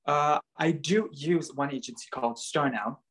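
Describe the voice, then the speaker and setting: A man's voice: on the high side, moderate pace, a bit bright. Chatty young Canadian-raised man. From Bulgaria, raised in Canada. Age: young adult. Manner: chatty, many fillers like "like" and "um". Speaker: interview guest, model and dancer. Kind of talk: casual chat.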